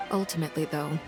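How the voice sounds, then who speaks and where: A composed female spymaster from a video game, speaking in a fairly low voice. She is coolly polite and formal, weighing each phrase with calculation, while music plays clearly underneath. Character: composed, calculating adult female intelligence agent and villainess. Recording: music behind the voice.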